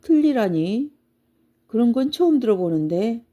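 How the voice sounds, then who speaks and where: Woman plays ancient toothless giant for children's storybook; gruff, blustery, soft-edged tone. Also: fairly high pitch, big pitch swings, a little slow, lo-fi sound, pitched above the performer's own voice. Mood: puzzled, gruff. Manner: blustery, exclaiming, grumbling.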